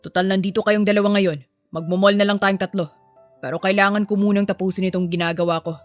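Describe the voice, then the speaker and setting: A woman's voice: medium pitch, fairly even intonation, quick pace, soft background music, lightly acted. A woman voicing a grumpy, guarded rich man, a boss and father, curt and defensive, in an audio story. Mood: matter-of-fact. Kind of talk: acted audio story dialogue.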